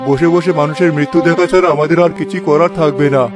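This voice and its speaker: A man's voice: fairly high pitch, fairly even intonation, quick pace, over music, lightly acted. A man voicing a humble, anxious village healer in a cartoon, explaining deferentially. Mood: anxious, pleading. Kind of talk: Bengali cartoon voice acting.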